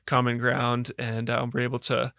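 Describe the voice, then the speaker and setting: An American man telling a reflective story as a podcast guest, earnest and halting, with frequent fillers and restarts. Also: mid-range voice, completely flat delivery, gravelly, pushed and bright, no room sound, clean studio sound. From United States.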